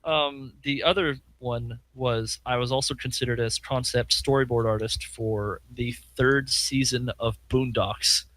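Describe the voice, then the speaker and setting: A man's voice: medium pitch, steady tone, unhurried, hardly any pauses, fairly bright tone, dry recording, low-quality recording. A man co-hosting a podcast in casual chat, telling a rambling story with fillers and acted-out quotes. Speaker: podcast co-host.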